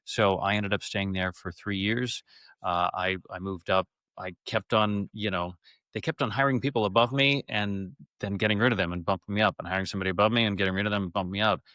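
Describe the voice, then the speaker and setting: A middle-aged man telling stories from his life as an interview guest, casual, animated and rambling. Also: lowish voice, fairly quick, dry recording. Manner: animated, rambling, acts out quoted speech. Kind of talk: casual conversational storytelling.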